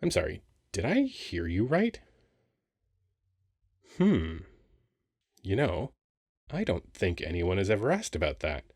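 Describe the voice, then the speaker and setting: Guy doing a handsome flirty sphinx for asmr roleplay, lowish voice, playful and teasing, very dry sound. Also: takes it slowly, long pauses, slightly rough, no echo, exaggerated voice. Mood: gentle, teasing. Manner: teasing, conversational, expressive. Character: handsome, flirty male sphinx, playful ancient temple guardian. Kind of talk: ASMR roleplay audio acting.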